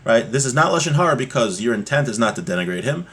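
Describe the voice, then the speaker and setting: Husky-voiced man teaching religious law. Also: medium pitch, sing-song, fast talker, fairly bright tone. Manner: explanatory, checks understanding with rhetorical questions. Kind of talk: text study lesson, reading and explaining aloud.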